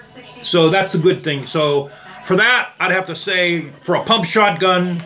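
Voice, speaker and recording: A slightly hoarse man talking casually and rambling as he tests trigger locks on guns for his viewers. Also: high voice, unhurried, bright, forceful tone. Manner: rambling, plain-spoken, addresses viewers as guys. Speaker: gun reviewer. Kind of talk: casual demo vlog.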